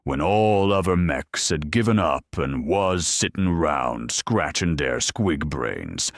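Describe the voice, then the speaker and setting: A man voicing a boastful ork inventor in an audio story, booming and declaiming pompously about himself. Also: gravelly, no room sound, studio quality, heavily acted.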